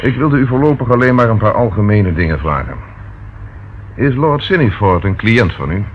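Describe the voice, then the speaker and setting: Resolute police inspector, dull old recording. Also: male voice, on the low side, lively intonation, normal speed, breathy edge. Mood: businesslike. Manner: probing, direct, sometimes snappy. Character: resolute male police inspector questioning people, curt but caring. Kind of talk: Dutch radio play acting. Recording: dull lo-fi old radio recording, faint noise.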